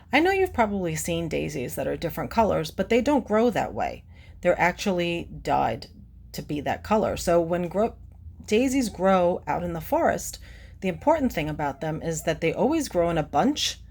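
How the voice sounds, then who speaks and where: A woman reads a picture book to young children in a low voice with very lively intonation. Her tone has a creaky, gravelly edge. She pauses the reading to explain things simply and ask the listener questions, like a teacher. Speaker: children's read-aloud presenter.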